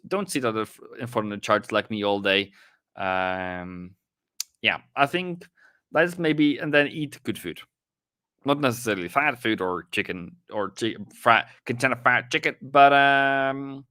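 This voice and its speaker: A man's voice: fairly low pitch, a bit bright, smooth, no echo, studio quality. A young Norwegian man with a light Norwegian accent, rambling and self-deprecating as he streams live trading commentary to his chat. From Norway.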